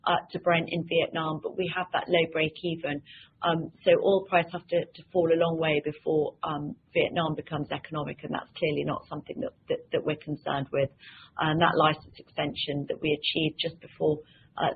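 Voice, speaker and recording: Woman presenting on a tinny call line. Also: low voice. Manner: measured, hesitant delivery with frequent ums and repeated words. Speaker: company executive presenting financial results. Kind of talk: investor presentation, semi-scripted. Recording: tinny phone-like line with faint noise.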